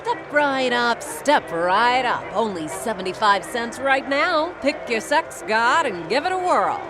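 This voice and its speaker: An actress playing a theatrical carnival barker, hawking an arcade race game with drawn-out calls in an audio drama. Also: female voice, a little high, very animated, over music. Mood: showy, excited.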